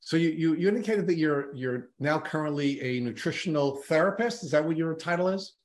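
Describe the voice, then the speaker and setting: A man's voice: on the high side, a little fast. A man hosting a podcast, introducing his guest enthusiastically and asking long, rambling questions. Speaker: podcast host. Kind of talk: podcast interview.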